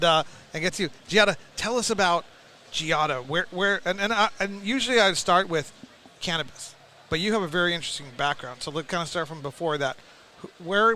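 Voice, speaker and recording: A man's voice: high voice, pushed and bright, a little hiss or hum. A man hosting a podcast interview, effusive and complimentary, talking in a loose, rambling way. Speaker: podcast host. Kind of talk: casual interview.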